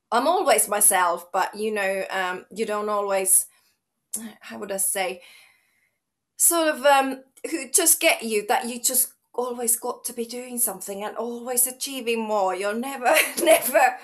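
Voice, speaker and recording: A woman's voice: on the high side, unhurried. Bubbly woman, middle-aged trainer, chatty, keeps restarting sentences. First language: Finnish. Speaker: fitness trainer, guest. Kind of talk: casual interview chat.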